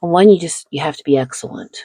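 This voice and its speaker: Woman, husky tone, dry close sound, chatty, reflective, laughs a lot, seated at her cards. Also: deep voice, animated, quick pace, mellow, dry recording. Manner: chatty and reflective, laughs often between thoughts; seated, talks while handling cards. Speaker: channel host. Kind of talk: casual chat while sleeving cards.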